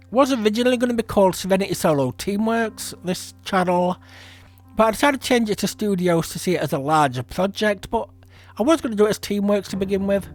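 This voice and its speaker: A man hosting a casual podcast as a creator, rambling and self-deprecating. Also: high-pitched. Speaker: podcast host and audio drama creator. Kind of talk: casual chat.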